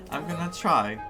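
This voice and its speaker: A gamer from Greece with a mid-pitched voice and slow delivery, chatting casually and reacting to a game. Game music plays loudly underneath. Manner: chatty, reactive, hesitant phrasing. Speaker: gaming streamer. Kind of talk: casual gameplay commentary.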